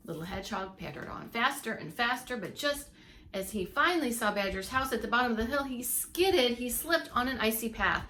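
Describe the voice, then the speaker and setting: A woman's voice: fairly high pitch, expressive, brisk, bright, forceful tone, slight background noise. A woman reading a story aloud like a preschool teacher, with dramatic emphasis and asides to young listeners. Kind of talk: expressive read-aloud storytelling for young children.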